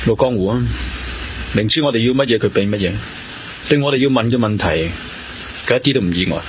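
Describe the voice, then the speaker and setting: An actor playing a composed, analytical police detective in a radio drama, terse and probing, with a Hong Kong Cantonese accent. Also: male voice, medium pitch, fairly quick, slight background noise, natural voice. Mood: calm, analytical. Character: sharp, composed male police detective, wry and driven.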